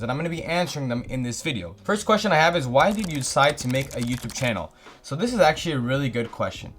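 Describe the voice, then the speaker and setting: Young Canadian guy in his twenties, quick and upbeat vlogger energy, mid-pitched, chatty Q&A answers. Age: 20s. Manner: eager, upbeat, reads each question aloud then answers at length. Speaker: YouTuber answering viewer questions. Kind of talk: casual Q&A vlog talking to camera.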